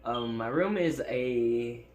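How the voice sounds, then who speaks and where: A man's voice: mid-range voice, slow, darkish tone, slight background noise. A seventeen-year-old guy who stutters, chatting casually on his vlog from his bedroom, rambling with lots of fillers and restarts, recorded on a phone. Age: teens. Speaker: YouTuber. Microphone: phone set up in room.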